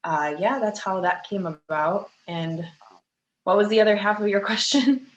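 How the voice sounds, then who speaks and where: A woman's voice: medium pitch, normal speed. A woman from Chicago talking casually and candidly as a fitness coach being interviewed. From Chicago, United States. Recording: echoey room with faint background noise.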